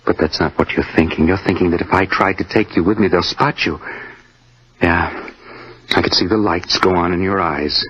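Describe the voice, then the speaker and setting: A deep-voiced, deranged killer in a 1950s radio thriller. He is touchy and boastful, brooding and halting as he threatens. The vintage recording sounds dull. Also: husky. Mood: smug, calculating. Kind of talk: 1950s radio suspense acting.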